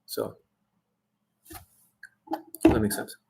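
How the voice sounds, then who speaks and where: A man's voice: extremely slow, warm tone, slight background noise. A young man and dating coach delivering a blunt, swearing monologue full of rhetorical questions to his viewers. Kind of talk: ranting monologue to camera.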